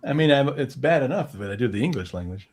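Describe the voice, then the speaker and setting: A man on a casual live-stream chat, cracking dry, deadpan jokes. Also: mid-range voice, fast, background noise.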